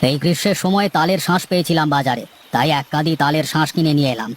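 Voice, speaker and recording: A chatty village gentleman played by a man for a moral-story cartoon, a doting but bossy father. He speaks fast in a highish voice, expressively chiding and instructing, over faint ambient noise. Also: close to an everyday voice. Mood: pleased.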